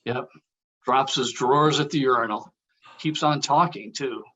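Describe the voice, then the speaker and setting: A man acts a casual, gossipy guy on a film set in a scripted read. His mid-pitched voice is husky, and his manner is wry and a little uneasy. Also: unhurried, sharp tone, lo-fi sound. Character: gossipy, uneasy male hockey film cast member.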